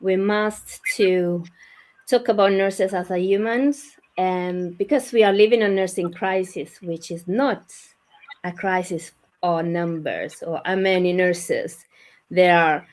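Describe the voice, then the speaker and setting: A woman in her forties from Italy with a strong Italian accent, hosting a live online conversation as a nurse career coach, speaking English as a second language in a slightly halting way over a video call. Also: fairly low pitch, fairly even intonation, a little hiss or hum. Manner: warm, slightly halting non-native English.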